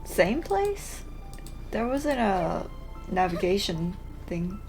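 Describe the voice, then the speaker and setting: A woman's voice: medium pitch, very animated, slow pace, gravelly, music in the background, poor audio. A woman streamer giving casual gameplay commentary, muttering offhand reactions to herself. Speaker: let's play streamer.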